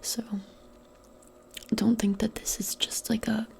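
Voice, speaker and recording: A woman doing a soft-spoken ASMR roleplay, mostly in a breathy whisper, with a gentle, reassuring and hesitant way of talking. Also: a little high, flat delivery, slow.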